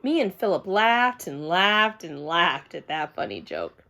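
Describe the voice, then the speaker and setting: A woman's voice: lowish voice, sing-song, unhurried, creaky, pushed and bright. A woman reading scripted children's narration in a childlike, emphatic way with dramatic phrasing. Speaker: storybook reader.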